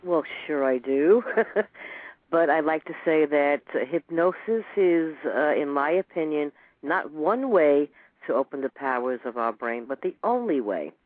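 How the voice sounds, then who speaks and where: A woman from New York calling in to a radio show, eager and enthusiastic, often pausing with "uh". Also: sits low, lively intonation, dry recording. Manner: enthusiastic, hesitant with uh. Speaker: radio caller. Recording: tinny dry phone line.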